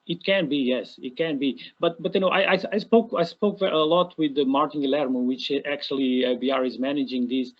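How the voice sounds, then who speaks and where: A man from Portugal answers a question on a video call, his voice husky and a little rough. He stops and starts again often and fills the gaps with fillers. The sound is dull and lo-fi. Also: medium pitch, a little fast, hardly any pauses. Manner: hesitant, many restarts and fillers. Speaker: academic presenter. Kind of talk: online Q&A answer.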